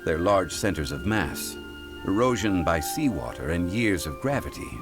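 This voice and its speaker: An actor playing a composed, erudite gentleman scholar in a video game, measured, formal and patient as he explains. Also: low-pitched. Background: clear game music.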